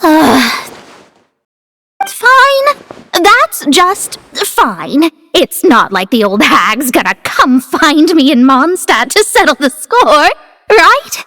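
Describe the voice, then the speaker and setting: A woman's voice: sits high, very animated, drawn-out words, bright, forceful tone, full character voice. A woman voicing a proud, easily flustered young mage who poses riddles in a video game, theatrical and haughty. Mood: sulky, uneasy. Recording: long hall-like reverb.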